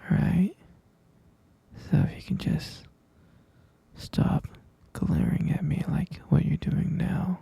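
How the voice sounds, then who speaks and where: A man playing a mature stepfather in an ASMR roleplay, speaking in a breathy, husky half-whisper with a hesitant, confiding and concerned manner. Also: fairly high pitch, steady tone, slow pace, long pauses, warm tone, no room sound, heavily acted. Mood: reproachful, confiding.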